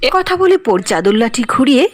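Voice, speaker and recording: A woman's voice: high voice, big pitch swings, moderate pace. A woman narrating a scripted cartoon fairy tale in storybook style.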